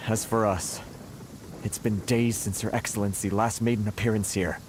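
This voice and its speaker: A man's voice: on the low side, little pitch movement, moderate pace, a little hiss or hum, natural voice. A man playing a weary resistance soldier in a video game, giving a grim report in a grave, formal manner. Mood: grim, worried. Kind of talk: scripted video game dialogue.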